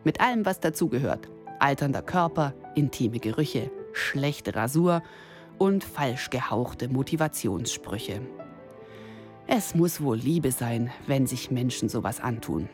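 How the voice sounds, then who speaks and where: Slow-paced man reading over clear music. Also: mid-range voice, lively intonation. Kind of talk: scripted column reading.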